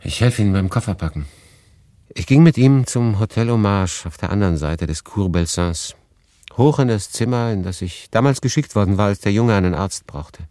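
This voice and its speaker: A man's voice: fairly low pitch, lively intonation, moderate pace, dark tone, dry recording, studio quality, close to an everyday voice. An actor playing a melancholy, detached male refugee who narrates his story in a pensive, understated radio drama style. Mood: reflective. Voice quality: husky.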